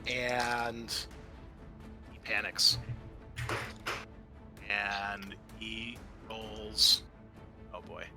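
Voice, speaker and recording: A man's voice: mid-range voice, sharp tone. A man acting as game master, narrating and refereeing a tabletop roleplaying game in an improvised way.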